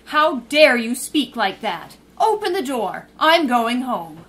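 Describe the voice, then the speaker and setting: An actress playing a poised young woman sleuth in a 1930s-style radio drama, polite and quick-witted, then indignant. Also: a little high, sing-song, fairly bright tone, exaggerated voice.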